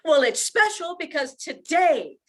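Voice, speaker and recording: Woman acting a high, expressive young mother. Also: measured pace, full character voice. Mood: hopeful. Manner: theatrical, heartfelt delivery. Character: emotional young widowed mother, polite and earnest. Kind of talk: amateur radio play acting.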